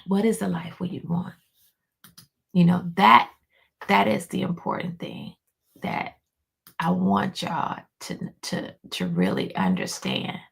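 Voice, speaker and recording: A hoarse woman, a life coach, giving an encouraging motivational talk to her viewers, repeating key lines for emphasis and calling them y'all. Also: on the low side, very animated, slow pace, long pauses. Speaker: life coach hosting a weekly motivational show. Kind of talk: motivational pep talk to camera.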